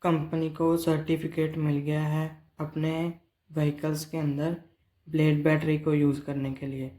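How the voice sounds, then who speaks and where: Man explaining in a very flat, mellow voice. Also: on the high side, measured pace. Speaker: presenter of company earnings updates. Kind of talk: explanatory financial commentary, semi-scripted.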